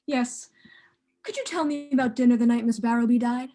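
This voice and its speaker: Woman acting a mellow, shrewd gentleman detective. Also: a little high, natural voice. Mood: courteous, inquiring. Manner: polite, measured, formal. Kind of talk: amateur radio play acting.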